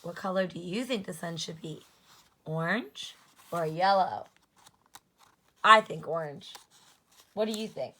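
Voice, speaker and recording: Slow, bright-voiced woman reading to children. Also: lowish voice, a little hiss or hum, rough recording. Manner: expressive, prompting young listeners. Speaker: teacher reading aloud. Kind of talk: children's read-aloud, talking to listeners.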